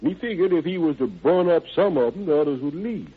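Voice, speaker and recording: A man's voice: on the high side, expressive, quick pace, breathy edge, narrow, tinny audio. An actor playing an evasive young ex-convict odd-job man in an old radio crime drama, speaking in a hesitant, rambling, folksy and ungrammatical way. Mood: nervous, explaining. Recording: band-limited vintage radio recording.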